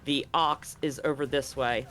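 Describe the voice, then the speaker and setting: A man acting as game master, narrating and explaining a tabletop scene in a casual, halting way that often rephrases. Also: medium pitch, steady tone, pushed and bright, faint music behind.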